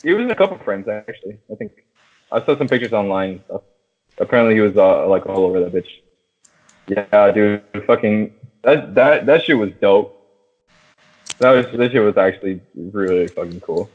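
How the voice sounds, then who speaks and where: A man's voice: lowish voice, lively intonation, normal speed. A man chatting casually and enthusiastically about movies as a podcast co-host, swearing freely. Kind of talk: casual movie chat with friends. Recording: thin phone-like, radio-like sound.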